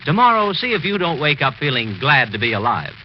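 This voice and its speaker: Animated male announcer, old noisy radio advertisement. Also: on the high side, brisk. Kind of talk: scripted 1940s radio advertisement. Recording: noisy, muffled 1940s radio recording.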